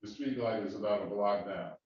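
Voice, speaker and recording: A man cross-examining a witness in a courtroom, questioning in a halting way with many fillers and restarts. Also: on the low side, darkish tone, slight background noise. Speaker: defense attorney questioning a witness. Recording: echoey, distant, dull courtroom audio.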